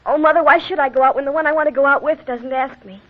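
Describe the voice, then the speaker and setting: A woman's voice: high-pitched, quick pace, natural voice. A woman playing an earnest, plaintive young farm daughter in a 1940s radio drama. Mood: plaintive, unhappy. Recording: muffled, noisy vintage radio recording.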